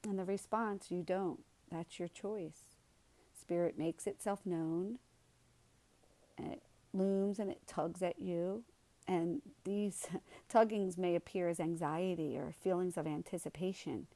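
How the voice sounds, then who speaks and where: A woman leading a guided meditation in a soothing, unhurried way, with soft, gentle delivery and pauses. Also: lowish voice, steady tone.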